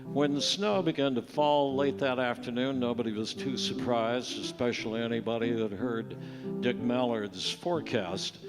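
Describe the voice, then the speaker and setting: A man's voice: medium pitch, moderate pace. A man telling a story as the narrator of a live radio play. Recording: reverberant live stage in hall. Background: plucked guitar music.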